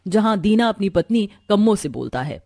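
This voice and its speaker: A woman's voice: mid-range voice, expressive, normal speed, darkish tone, no echo. A woman reading scripted narration for a moral cartoon story as its storyteller.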